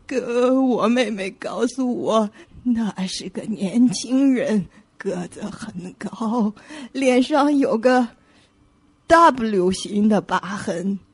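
An actress playing a grieving woman who lost her twin, with halting, anguished delivery in an audio suspense drama. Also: on the high side, slightly rough, dry recording. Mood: bitter, shaken.

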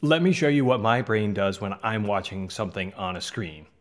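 A man's voice: on the low side, lively intonation, normal speed. A man in his thirties with a Midwestern accent, from the American South, talking earnestly to camera as host of a magic channel in a small home studio. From Southern United States. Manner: earnest, conversational, gestures with hands. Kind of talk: casual talk to camera. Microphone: studio mic on boom in front.

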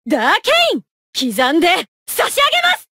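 A woman's voice: extremely high pitch, huge pitch swings, brisk, very noisy, full character voice. An actress playing a poised, haughty young female knight in a fighting game, speaking with formal, imperious politeness and clipped battle cries. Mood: fierce, exultant.

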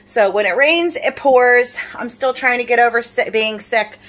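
A woman's voice: a little high, normal speed, a bit bright. A middle-aged woman vlogger, tired, chatting casually through a grocery haul with lots of fillers. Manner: rambling, filler-heavy, apologetic.

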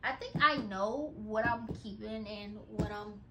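A woman's voice: mid-range voice, unhurried, poor audio. A woman in her twenties vlogging casually in a nursery at home, chatting to the camera while she sorts clothes and packs boxes. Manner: chatty, talks while packing and folding. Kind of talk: casual vlog chat to camera. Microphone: camera set on the floor.

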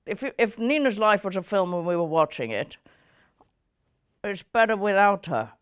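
A woman's voice: medium pitch, lively intonation, moderate pace, smooth, natural voice. A woman ventriloquist voicing a cheeky, stubborn monkey puppet who argues bluntly and swears in improvised comedy. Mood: stubborn, defiant.

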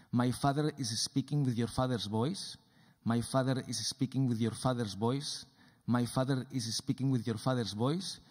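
Brief: man in his thirties lecturing deliberately from a stage into a stand mic, roomy hall reverb. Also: medium pitch, a little mellow. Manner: deliberate, repetitive phrasing. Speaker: lecturer and performer. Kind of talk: lecture performance. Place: dark theatre stage, seated at a table.

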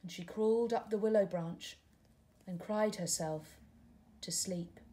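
A woman, a teacher reading a picture book aloud at home with expressive, gentle storytelling. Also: mid-range voice, a little slow. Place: living room at home, indoors.

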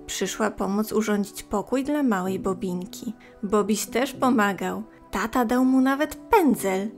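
A woman narrating a scripted story for young children in a gentle, expressive, clearly phrased way. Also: medium pitch.

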